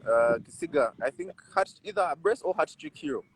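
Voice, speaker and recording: A man in his twenties with a Ugandan accent, telling personal stories in casual chat as an interview guest, animated and repeating phrases for emphasis. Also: a little high, a little fast, husky, poor audio. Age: 20s. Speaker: rugby player, interview guest.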